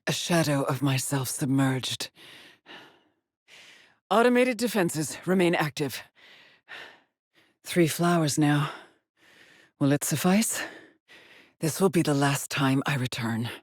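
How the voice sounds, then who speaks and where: A haunted lone female space explorer from a sci-fi video game, speaks in a low, husky voice. She is measured and solemn, weary yet determined, and often breathy, as if logging her findings alone. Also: lively intonation, dry recording, clean studio sound, exaggerated voice. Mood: somber, resolute. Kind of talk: dramatic game voice lines, short log entries.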